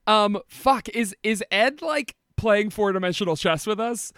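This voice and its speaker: Man, high voice, dry close sound, jokey, swears a lot, hoarse. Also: unhurried, fairly bright tone, dry recording. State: losing his voice. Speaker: podcast co-host. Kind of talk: casual podcast chat.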